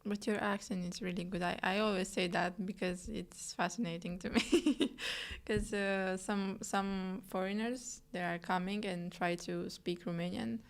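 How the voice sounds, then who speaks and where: A woman's voice: medium pitch, steady tone, unhurried, vocal fry. Encouraging woman hosting a podcast. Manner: encouraging, with frequent backchannel murmurs. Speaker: podcast host. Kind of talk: casual conversational interview.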